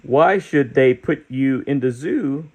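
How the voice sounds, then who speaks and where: A man's voice: medium pitch, animated, slow pace, a little mellow, voice pushed up. A man voicing a group of bossy children in a storybook, firm and scolding. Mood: challenging.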